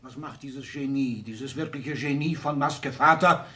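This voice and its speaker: An actor playing a raging, gloating old tycoon father in a theatrical 1960s German radio play, ranting from gasps to shouts. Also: medium pitch, measured pace. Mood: gloating, mocking. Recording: echoey room, distant from microphone.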